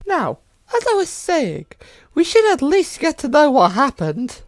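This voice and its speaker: A bossy, nosy robot girl acted by a woman in a fan comic dub. The voice is high, curt and insistent, with lively swoops and a dry sound. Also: unhurried, dry recording, full character voice. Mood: impatient. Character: bossy, nosy girl animatronic who shushes others. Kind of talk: amateur comic dub acting.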